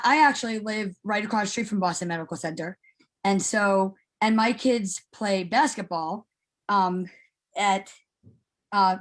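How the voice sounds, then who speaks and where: A middle-aged woman puts a question at an online meeting. Her pitch is average for a woman. She talks informally and chattily, taking her time to circle toward what she wants to ask. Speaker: meeting attendee asking a question.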